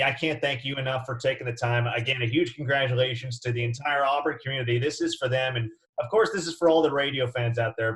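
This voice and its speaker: A man hosting a sports radio interview, speaking in an earnest, respectful way. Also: mid-range voice. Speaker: interviewer and host.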